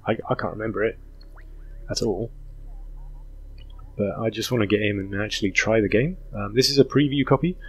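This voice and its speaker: A hoarse man hosting a casual gaming commentary, rambling with many ums. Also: lowish voice, a little fast, pauses often. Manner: rambling, self-interrupting, full of ums. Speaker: gaming channel host. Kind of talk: casual let's play commentary.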